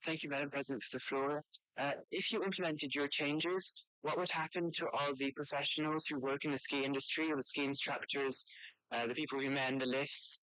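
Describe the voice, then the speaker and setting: A man with a crisp, bright voice questions as a delegate in a formal youth debate. His intonation is quite even. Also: fairly high pitch, fairly quick, no echo, poor audio.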